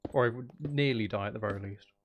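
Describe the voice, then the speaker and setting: Creaky-voiced man musing over a game. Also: medium pitch, quick pace, a little hiss or hum. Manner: musing, thinking aloud to viewers. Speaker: game streamer. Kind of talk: casual livestream gaming commentary.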